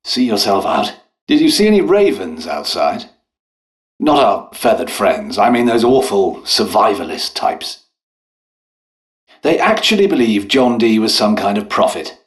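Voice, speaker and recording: An actor playing a polite, dutiful, harried English doctor and scientist in a video game, with a British accent and a hesitant, genteel manner. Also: male voice, lowish voice, sing-song, a little slow. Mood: apologetic, preoccupied. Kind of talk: scripted game character dialogue.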